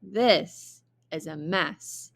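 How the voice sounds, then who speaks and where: A woman's voice: fairly low pitch, very slow, gravelly, exaggerated voice. An exasperated storybook mother acted out by a woman reader. She scolds emphatically, stressing her words as a fed-up parent would. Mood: weary dismay.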